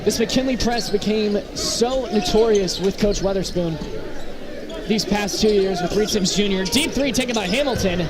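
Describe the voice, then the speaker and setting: Male basketball play-by-play announcer, high register, clipped live calls, heavy crowd din behind. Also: moderate pace, over music. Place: press booth at an indoor basketball game. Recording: very loud crowd and gym noise. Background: loud crowd, bouncing basketballs.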